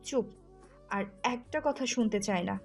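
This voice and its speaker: A woman's voice: medium pitch, measured pace, gravelly, a little mellow. A woman playing a wounded, jealous wife who scolds and accuses sharply in an audio story. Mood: cold anger. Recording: music under the voice.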